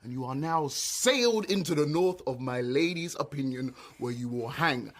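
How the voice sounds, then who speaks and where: An actor playing a sly, witty conspirator in a Shakespeare radio drama, articulate, persuasive and mock-earnest. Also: male voice, mid-range voice, expressive, normal speed, lightly acted. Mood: mock-earnest goading. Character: sly, witty male conspirator flattering a foolish knight.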